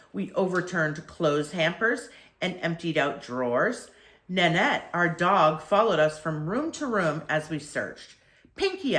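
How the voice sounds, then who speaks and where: An older woman with a low voice reads a children's story aloud. Her intonation is very animated, with deliberate phrasing and stretched emphasis on key words. The recording sounds roomy and echoey. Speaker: grandmother reading aloud. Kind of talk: expressive children's story reading.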